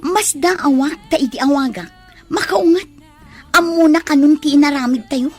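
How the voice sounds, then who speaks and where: A woman's voice: high-pitched, moderate pace, faint music behind, close to an everyday voice. An actress playing an anxious woman schemer in an Ilocano radio drama. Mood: worried. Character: anxious scheming woman, afraid of being caught.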